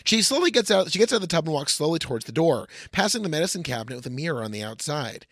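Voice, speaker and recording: A man's voice: a little high, sing-song, racing, a bit bright, no echo, clean studio sound. A man hosting a podcast, casually reading a screenplay aloud with wry, profane asides. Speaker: podcast host.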